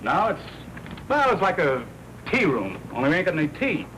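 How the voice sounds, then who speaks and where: An American actor playing a sardonic, slangy, wisecracking police reporter in a 1940s crime film. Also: male voice, a little high, wildly swooping pitch, moderate pace, a bit bright. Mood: wry teasing. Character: wisecracking, teasing male big-city newspaper police reporter. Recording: noisy old 1940s film soundtrack.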